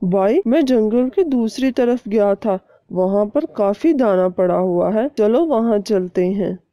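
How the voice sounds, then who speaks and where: A woman plays a scheming male crow in a cartoon fable. The delivery is unhurried and insistent, in a muted dark tone. The crow sounds resentful and bent on persuading. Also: mid-range voice, lively intonation, no echo, highs cut off. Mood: eager.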